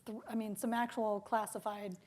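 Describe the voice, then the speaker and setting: A woman's voice: mid-range voice, level tone, normal speed, a little mellow. A woman interviewing someone on stage in a conversational way, with hesitant, restarting phrasing. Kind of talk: live onstage literary interview. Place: theater stage, public talk.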